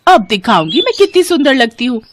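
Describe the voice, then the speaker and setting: A woman's voice: high voice, sing-song, fairly quick, a little hiss or hum. Woman putting on a gullible ageing village wife. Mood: amazed, eager. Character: gullible, vain ageing rich village wives. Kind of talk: scripted story dialogue.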